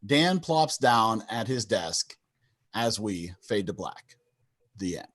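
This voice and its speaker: Animated man narrating sitcom stage directions. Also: fairly low pitch, slow. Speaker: narrator of a table read. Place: indoors, on a video call.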